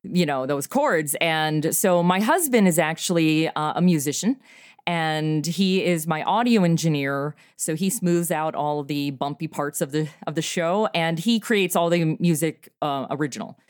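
A woman talking as an interview guest about her podcast, animated and quick to laugh. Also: deep voice, dry recording, clean studio sound. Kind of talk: casual interview.

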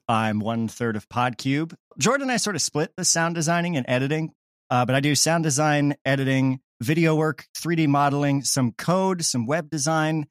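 A man's voice: on the high side, no room sound, studio quality. A man who does sound design, chatting casually in an interview from home. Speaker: sound designer and podcast creator.

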